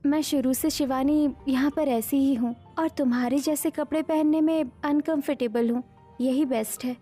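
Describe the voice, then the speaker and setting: A woman playing a young daughter-in-law in an animated family story, with expressive domestic melodrama. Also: high voice, moderate pace, faint music behind, lightly acted. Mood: bickering.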